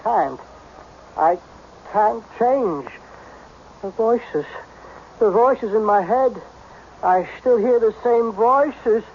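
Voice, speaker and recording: Radio-play casting: agitated, hard-headed businessman, higher-voiced and very animated, on a dull, noisy vintage recording. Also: exaggerated voice. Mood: bitter, despairing. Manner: agitated, halting, intense. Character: frantic, practical businessman, magically made younger. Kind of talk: old-time radio drama acting.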